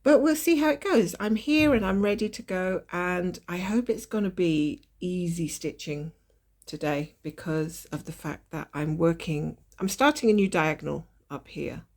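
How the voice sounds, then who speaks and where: A woman with a fairly low voice chats through a stitch-along from her desk. A lingering cold leaves her sounding nasal and slightly hoarse. She talks in a relaxed, apologetic way, laughing softly now and then. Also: moderate pace. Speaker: cross-stitch vlogger. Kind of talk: casual stitch-along chat. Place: at a desk indoors.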